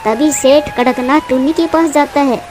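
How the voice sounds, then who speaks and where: A woman's voice: high voice, moderate pace, over music. A woman narrating a scripted children's moral story as a storyteller.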